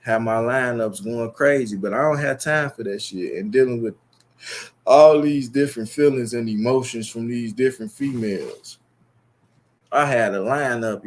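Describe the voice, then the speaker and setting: A man's voice: fairly low pitch, a bit bright. A young man with a Chicago accent, talking straight to his viewers in a long, boastful, profane and rambling monologue. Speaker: YouTube streamer.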